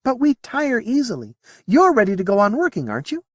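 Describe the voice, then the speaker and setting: Man voicing a high, playful female alien. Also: wildly swooping pitch, brisk, dry recording, full character voice. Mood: frank, wry. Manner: chatty, teasing, candid. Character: playful, candid female alien mimicking a young officer.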